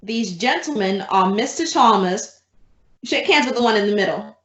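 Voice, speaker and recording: A woman playing a wry, quick-witted schoolteacher with dry, deadpan delivery in old-time radio comedy style. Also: medium pitch, close to an everyday voice. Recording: roomy, dull lo-fi recording.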